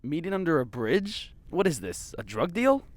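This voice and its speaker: A man's voice: on the high side, very animated, normal speed, no echo. A man playing a sarcastic young reporter. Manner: dry, sarcastic. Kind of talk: audio drama acting.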